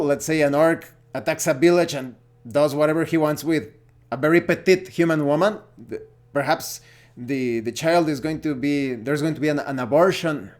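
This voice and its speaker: A man with a Mexican accent gives a casual opinion monologue as a gaming vlogger, speaking haltingly and searching for words. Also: fairly high pitch.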